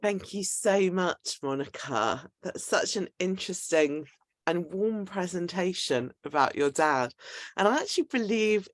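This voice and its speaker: A woman's voice: fairly low pitch, expressive, a little slow, no room sound. A woman speaking conversationally as the host of an online talk. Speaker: event host.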